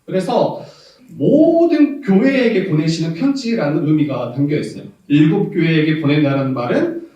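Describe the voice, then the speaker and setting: Pastor with a Jeolla accent in an echoey church. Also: male voice, a little high, animated, moderate pace, dark tone, dull audio. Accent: Jeolla dialect. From South Jeolla, Korea. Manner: candid, reminiscing, laughs often, rises to emphasis. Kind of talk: sermon. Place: church sanctuary. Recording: far-off echoey church audio.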